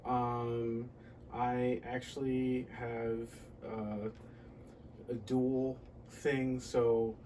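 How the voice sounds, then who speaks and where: A man from the United States answering interview questions casually and reflectively, often pausing mid-sentence to find his words. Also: mid-range voice, little pitch movement, mellow, distant mic, slight background noise. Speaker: podcast guest.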